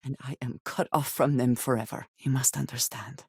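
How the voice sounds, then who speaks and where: An actress playing a guarded, serious woman space explorer in a video game, speaking in formal, measured phrases. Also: rumbling low, fairly even intonation, breathy edge, no room sound, clean studio sound, heavily acted. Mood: troubled, earnest. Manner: formal, measured diction with few contractions.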